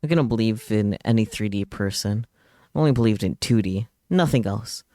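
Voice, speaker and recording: Casting: male streamer, mellow mid-range voice, dramatic read-aloud of visual novel narration, very dry close sound. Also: fairly low pitch, moderate pace, dry recording.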